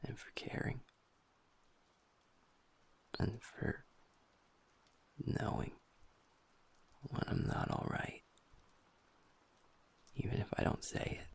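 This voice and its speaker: A man voicing a happy-go-lucky young male werewolf boyfriend in an intimate audio roleplay, talking softly and earnestly to his partner. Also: takes it slowly, long pauses, natural voice. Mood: tender, affectionate. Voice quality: soft, breathy, half-whispered. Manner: earnest, affectionate, talks to the listener as partner.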